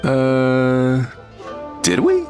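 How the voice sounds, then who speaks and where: A man's voice: mid-range voice, huge pitch swings, very slow, music in the background, exaggerated voice. A man playing an absent-minded, unbothered fisherman in an anime game, breezy and vague.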